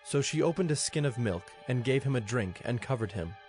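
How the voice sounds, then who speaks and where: A man narrating scripture in a solemn, measured storytelling manner. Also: mid-range voice, animated, a little mellow. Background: music.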